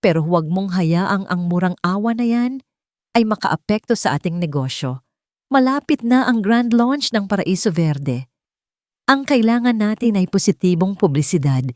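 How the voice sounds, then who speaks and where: A woman's voice: lowish voice, animated, moderate pace, darkish tone, dry recording, studio quality, lightly acted. A Filipino-accented woman voicing a haughty, cold, rich older mother in an audio drama, melodramatic and condescending. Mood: cold, stern.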